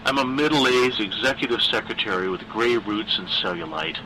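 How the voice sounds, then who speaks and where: A man voicing a weary, frightened middle-aged woman who reasons and coaxes in an earnest, halting way in a horror story. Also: fairly low pitch, expressive, bright, forceful tone, a little hiss or hum, rough recording. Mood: weary coaxing.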